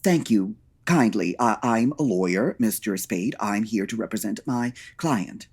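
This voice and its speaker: An actor playing a nervous, polite lawyer in a radio comedy, stammering and hesitant with formal courtesy. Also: male voice, on the low side, expressive, unhurried, a little mellow, breathy edge, heavily acted. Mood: timid, polite. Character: nervous, polite, flustered male lawyer for gangsters. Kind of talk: old-time radio comedy acting.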